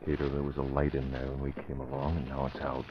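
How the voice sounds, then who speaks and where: An actor playing a calm, commanding masked crime fighter who speaks in a firm, clipped way, in 1940s radio acting style. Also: male voice, rumbling low, quick pace. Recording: dull old radio broadcast with hiss.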